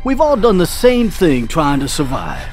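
An actor playing a compassionate, principled survivor in a video game, arguing earnestly. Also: male voice, on the high side, huge pitch swings, a little slow, soft background music. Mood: earnest, insistent. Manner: earnest, argumentative. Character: compassionate, principled male survivor pleading for mercy. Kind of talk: dramatic video game acting.

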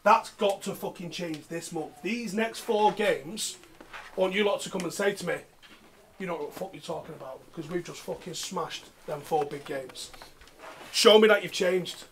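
A man's voice: high-pitched, normal speed, a bit bright. A man, speaking emphatically and bluntly as a football coach. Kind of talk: team talk and interview, swearing. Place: changing room and outdoor pitch. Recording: clear background noise.

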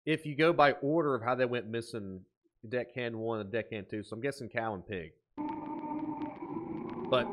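Man, thirties, medium pitch, reaction commentary, excitable, musing asides, thinking aloud. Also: low-quality recording. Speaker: host of a reaction video. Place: small indoor room at a desk.

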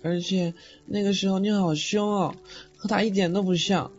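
A man's voice: high-pitched, steady tone, normal speed, darkish tone, natural voice. A man acting in an audio drama as a sweet, naive teenage boy ghost with a childlike, pouty manner. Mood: wistful. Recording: slightly dull audio, faint music behind.

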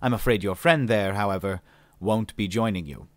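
A man's voice: fairly low pitch, dry recording, lightly acted. A man voicing a smug alien scientist. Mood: smug, condescending. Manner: polite, mocking, unhurried. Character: smug scheming male alien scientist, calm and condescending.

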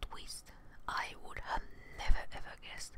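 A young woman whispering breathy ASMR commentary as she plays a video game, close to the microphone. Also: measured pace. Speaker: ASMR gamer, host. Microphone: desk microphone up close, headphones on.

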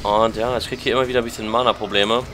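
A man's voice: lowish voice, flat delivery, racing, background noise. A German man with a lingering cold, chatting casually as he plays and comments on a game, thinking aloud. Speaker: let's play commentator.

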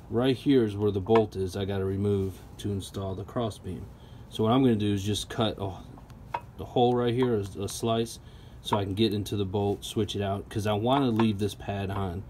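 A man casually explaining a tool setup while working with his hands, chatty and relaxed with plenty of ums. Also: lowish voice, slight background noise. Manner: relaxed, chatty, lots of ums and you-knows. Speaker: product reviewer. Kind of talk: casual how-to narration.

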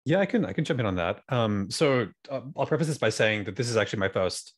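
A young man with an Australian accent and a low voice. He talks in a halting, thoughtful way, as part of a panel discussion on a video call, into a studio mic. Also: lively intonation. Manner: halting, reflective, hedging. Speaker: theatre cast or creative team member. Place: small room indoors, on a video call. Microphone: studio microphone on an arm, with headphones.

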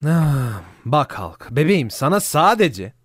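A man's voice: a little high, big pitch swings, measured pace, fairly bright tone, breathy edge, no echo, studio quality, exaggerated voice. A man playing a harried, glib office boss who placates people, in a cartoon parody dub. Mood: placating.

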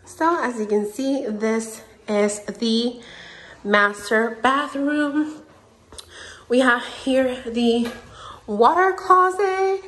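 Woman from Puerto Rico, young, slightly high voice, excited, empty house, faint room hiss. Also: drawn-out words. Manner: excited, gushing and chatty. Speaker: vlogger. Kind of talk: home tour vlog. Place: large empty new house, indoors. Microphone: handheld phone camera.

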